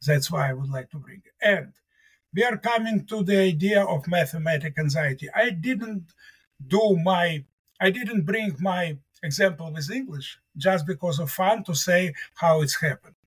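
Husky, high-voiced man, native Russian speaker. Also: normal speed, a bit bright. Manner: earnest, emphatic, repeats key words. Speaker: mathematics professor. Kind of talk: interview guest.

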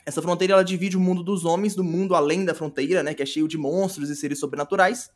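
A Brazilian man speaking as a podcast guest, explaining casually in a halting way, repeating words and often checking for agreement. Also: on the high side, steady tone. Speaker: podcast guest, audio drama creator.

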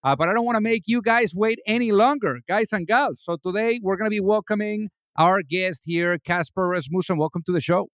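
A man's voice: high voice, brisk, hardly any pauses, fairly bright tone, dry recording, clean studio sound. A man hosting a business podcast, enthusiastic and leaning on lots of "you know" fillers as he introduces and questions his guest. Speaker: podcast host. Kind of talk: casual interview hosting.

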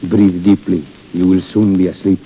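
An actor playing a brash, doting Italian army surgeon in 1930s radio style, talkative, with stilted phrasing free of contractions. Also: male voice, low-pitched, flat delivery, moderate pace, natural voice. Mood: soothing, firm. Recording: muffled, hissy 1930s radio recording.

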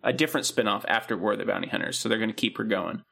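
Gravelly man rambling in casual chat. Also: on the low side, rapid-fire, pushed and bright. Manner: rambling, lots of ums and likes, ranting. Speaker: podcast co-host.

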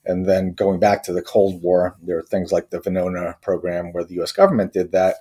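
A man from Croatia, a tech company founder, answering interview questions thoughtfully with many pauses and restarts. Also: deep voice, steady tone, warm tone, husky. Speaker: company co-founder and technology chief.

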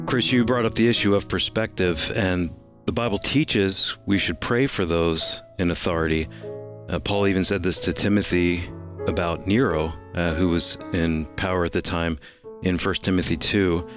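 A man's voice: deep voice, fairly even intonation, normal speed, music in the background. A man speaking as a co-host in a reflective discussion of faith.

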